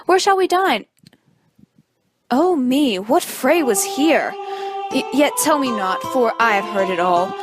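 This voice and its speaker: Lovesick young nobleman played by a woman, high-pitched, plaintive, theatrical Shakespearean verse, music behind. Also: lively intonation, slow pace, long pauses. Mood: anguished. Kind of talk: amateur dramatic reading of Shakespeare.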